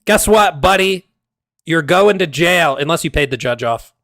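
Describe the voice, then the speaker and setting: A male streamer rants in a heated, exasperated way, cursing freely as his voice goes hoarse. His voice sits a bit high with a gravelly fry. The sound is dry and close, with no room echo. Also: animated, normal speed, fairly bright tone. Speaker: game streamer. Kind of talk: casual livestream rant.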